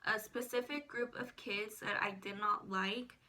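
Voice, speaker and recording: A woman's voice: mid-range voice, steady tone, measured pace, bright, forceful tone. An eighteen-year-old woman, a native Spanish speaker, chatting casually to viewers as a vlogger. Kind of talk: casual personal chat to viewers.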